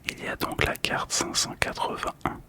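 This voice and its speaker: A man hosting a relaxation video in a soft, calm, breathy whisper, telling stories in ASMR style. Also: normal speed. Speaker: host of a relaxation channel about collectible cards.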